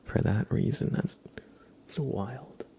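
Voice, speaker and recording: Slow, low, soft-spoken ASMR man. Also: vocal fry, husky. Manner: soft-spoken, often slipping into whispers. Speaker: ASMR livestreamer. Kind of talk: ASMR, casual livestream chat.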